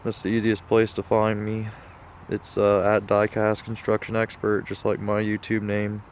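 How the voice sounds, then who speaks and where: Stuffed-up man, flat low voice. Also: normal speed, a little hiss or hum. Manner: laid-back, rambling with many fillers. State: stuffed-up nose. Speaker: diecast model collector vlogger. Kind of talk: casual walkthrough talk.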